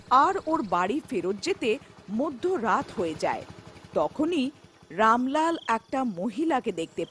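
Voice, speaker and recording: A female storyteller reading a scripted horror folktale. Her voice is high and moves at an unhurried, slow pace, with lively swings of pitch. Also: slight background noise.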